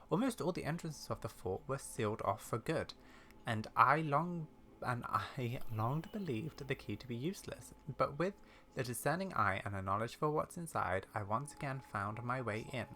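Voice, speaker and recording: A man voicing a kindly, secretive old witch granny in a game, with measured, theatrical, old-fashioned speech. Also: medium pitch, expressive, pushed and bright. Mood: fond, secretive. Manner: measured, mysterious storytelling with fond, old-fashioned turns of phrase. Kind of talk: game dialogue read aloud in character.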